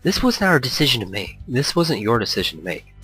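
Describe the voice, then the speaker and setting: A man's voice: medium pitch, big pitch swings, brisk, sharp tone, husky, faint music behind, pitched above the performer's own voice, heavily acted. A man voicing a tense, scolding young woman in a visual novel, curt and exasperated.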